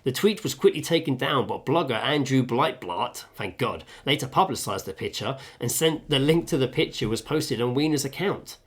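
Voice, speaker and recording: A man with an average-pitched voice co-hosts a relaxed podcast from a home kitchen. He reads out stories and chats, animated and sweary. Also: normal speed, hardly any pauses. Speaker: podcast co-host. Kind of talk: casual chat, reading out stories.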